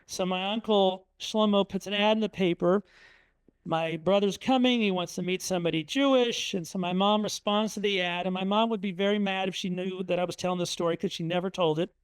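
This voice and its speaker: A man's voice: sits high, fairly even intonation, a little fast, clean tone, no echo, low-quality recording. A middle-aged man from Indiana giving a conversational talk with slides, telling family anecdotes with frequent ums and uhs. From Indiana, USA. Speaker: author speaking at a museum talk. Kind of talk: storytelling presentation with slides.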